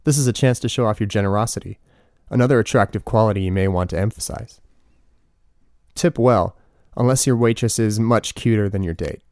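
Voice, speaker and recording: A man's voice: fairly low pitch, brisk, a little mellow, no room sound. A man in his twenties reading his own humorous book as scripted narration, with wry, dry comic asides. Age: 20s. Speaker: author reading own audiobook.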